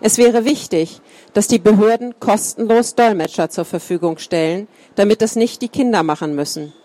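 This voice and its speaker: A woman reading a first-person account aloud in a calm, measured way. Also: medium pitch. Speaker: reader.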